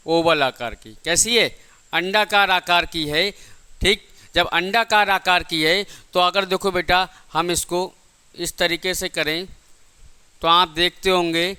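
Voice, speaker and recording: A man's voice: high voice, sharp tone, clean tone. A man teaching a lecture in a didactic way, repeating points and asking rhetorical questions. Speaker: physics teacher for exam coaching. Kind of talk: classroom lecture.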